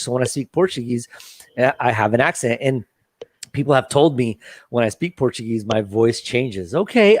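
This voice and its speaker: A man's voice: mid-range voice, dry recording. An American man who teaches English, talking earnestly and reassuringly on a video call panel, often repeating words. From United States. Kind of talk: casual panel chat.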